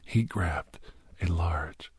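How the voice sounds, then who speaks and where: A man playing a grim doctor recounting a bloody werewolf rescue in a hushed, breathy, halting ASMR storytelling style. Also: low voice, dry recording, clean studio sound, heavily acted. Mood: grim, awed. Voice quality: breathy, husky near-whisper. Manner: hushed, halting, dramatic delivery. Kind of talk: whispered ASMR horror storytelling.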